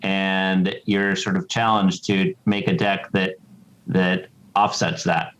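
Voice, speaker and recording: A man giving casual interview answers as a game developer, explaining in a halting way. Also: deep voice, level tone, fairly bright tone, lo-fi sound.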